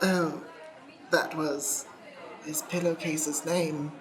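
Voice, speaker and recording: A woman in an improvised comedy radio play, playing a creepy, grieving old restaurant owner with halting, melodramatic delivery. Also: deep voice, flat delivery, crowd noise, poor audio. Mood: mournful. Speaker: improv actor.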